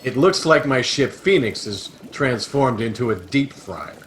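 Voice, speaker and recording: Man playing lively, bookish interstellar adventurer. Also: medium pitch, a little slow. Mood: pedantic, earnest. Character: self-important, bookish interstellar adventurer, earnest and unhurried. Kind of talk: sci-fi satire audio drama acting. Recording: noisy home video-call audio.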